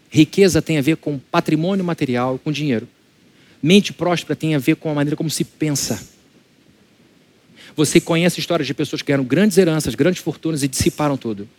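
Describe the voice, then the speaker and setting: A man's voice: fairly high pitch, darkish tone. A male pastor with a Brazilian Portuguese accent delivering a conversational church sermon, firing rhetorical questions at his congregation. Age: adult, married.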